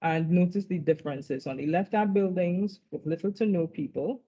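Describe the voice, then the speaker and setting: A woman presenting at an academic conference, walking through her slides in a measured way and often checking in with "right?". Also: on the low side, mellow, slightly rough. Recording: dull lo-fi recording.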